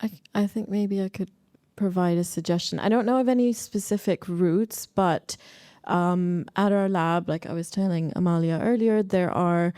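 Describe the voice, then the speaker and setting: Mellow, lowish-voiced woman, tentative. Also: moderate pace, dry recording, clean studio sound. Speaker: panellist, researcher. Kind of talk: unscripted panel answer.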